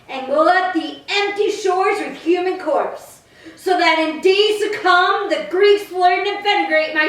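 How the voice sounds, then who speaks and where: A woman playing a proud, vengeful goddess with formal, deliberate delivery in a staged reading of Greek tragedy. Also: high-pitched, fairly bright tone, full character voice. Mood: vengeful, commanding. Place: echoing church interior. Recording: very echoey hall, distant mic.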